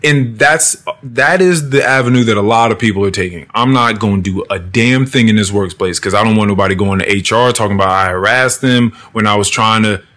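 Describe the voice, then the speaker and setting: A man's voice: lowish voice, fairly quick, hardly any pauses, a bit bright. A man hosting a casual podcast conversation, talking loosely and profanely and acting out the people he quotes. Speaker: podcast host.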